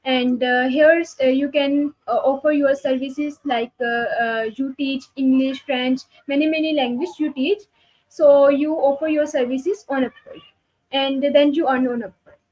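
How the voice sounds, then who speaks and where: A woman with a high-pitched voice acts as interviewer. She speaks hesitantly, filling her sentences with many pauses and fillers. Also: steady tone, darkish tone, rough recording. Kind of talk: casual interview talk.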